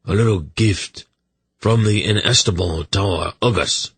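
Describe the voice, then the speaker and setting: A man's voice: on the low side, normal speed, breathy edge, no echo, voice pushed up. A man voicing a sardonic, plaintive young king with a mocking, theatrical manner in a fantasy audiobook. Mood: bitterly mocking.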